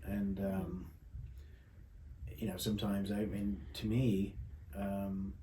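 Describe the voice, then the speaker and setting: A man's voice: deep voice, flat delivery, slow pace, mellow. A man, a playwright, talking reflectively in a modest, hesitant way in an echoey room with faint noise. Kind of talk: casual reflective conversation.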